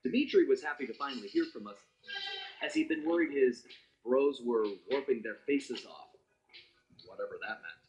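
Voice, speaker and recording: Casting: man for easygoing raccoon thief narrator in a cartoon game, conversational and wry, highly varied intonation. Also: big pitch swings, normal speed, a little mellow, lightly acted, poor audio. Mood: wry, confident. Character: roguish anthropomorphic raccoon master thief, adult male, easygoing. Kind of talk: scripted first-person story narration for a cartoon heist game.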